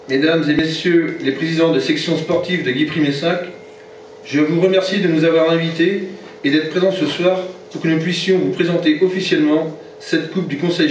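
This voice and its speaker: A man's voice: fairly high pitch, slight background noise. A French man, a football club president, reading a formal speech at a reception and now and then stumbling over his notes. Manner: formal, reads from notes, stumbles and corrects himself. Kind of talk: formal prepared speech read aloud. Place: reception hall. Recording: very echoey hall from far off, dull sound.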